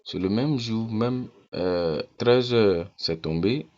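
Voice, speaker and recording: A very low-voiced man talks viewers through his account in a casual, persuasive tone. He hammers his points home by repeating them. The recording is dull and lo-fi. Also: slow, a little mellow. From Ghana. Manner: repeats phrases insistently, persuasive. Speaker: network-marketing promoter. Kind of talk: casual screen walkthrough.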